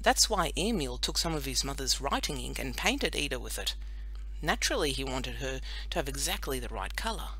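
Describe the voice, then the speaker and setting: A woman narrating a children's audiobook in an expressive, scripted storytelling style. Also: a bass voice, a little fast, rough recording.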